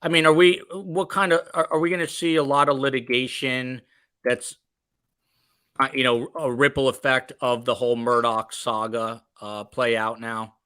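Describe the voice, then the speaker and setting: A man hosting a podcast, talking casually with panelists and reading out comments, sometimes in a halting, meandering way. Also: mid-range voice, flat delivery, bright, forceful tone, clean tone, dry recording. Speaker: podcast host.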